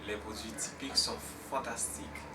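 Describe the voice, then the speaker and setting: A man's voice: on the low side, fairly even intonation, moderate pace, heavy background noise. A man giving an enthusiastic testimonial, repeating himself as he talks. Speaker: colleague giving a tribute. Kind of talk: recorded testimonial. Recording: echoey, distant, loud music.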